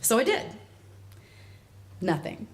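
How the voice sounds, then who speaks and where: A young adult woman performing a wry, exasperated comic monologue from a stage. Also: slow. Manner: wry, exasperated storytelling, voicing others in quotes. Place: live stage before an audience.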